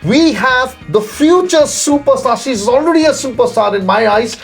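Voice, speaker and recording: A man's voice: very high-pitched, lively intonation, moderate pace, creaky, soft background music. A man hosting an interview, gushing with praise and leaning on "you know" fillers. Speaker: talk show host. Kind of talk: effusive interview chat.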